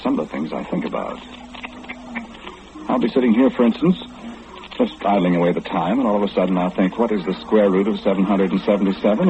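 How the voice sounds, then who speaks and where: Muffled, faintly noisy vintage radio recording, genteel know-it-all, weary, chatty, hesitant, highly animated intonation, 1940s drama monologue. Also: male voice. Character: mild fussy know-it-all man, genteel, weary of omniscience.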